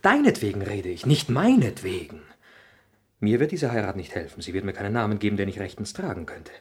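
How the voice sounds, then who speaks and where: A very husky, impassioned young son. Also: male voice, lowish voice, very animated, a little fast. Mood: urgent resolve. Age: young adult. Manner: urgent, theatrical, dropping to breathy pleading. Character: earnest, headstrong young son, passionate and pleading. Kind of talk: 1960s German radio drama acting.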